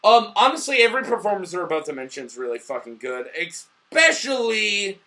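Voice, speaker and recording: Drunk, high-pitched man rambling about movies. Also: big pitch swings, fairly quick, sharp tone. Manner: rambling, easily losing the thread. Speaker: movie review show host. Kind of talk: casual, profane review banter.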